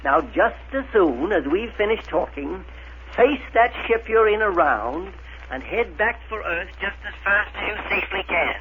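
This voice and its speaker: An actor playing a brisk, authoritative scientist giving orders in a 1930s radio serial. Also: male voice, high-pitched, lively intonation, pushed and bright. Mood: firm, urgent. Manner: crisp, authoritative. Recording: faintly noisy 1930s radio broadcast.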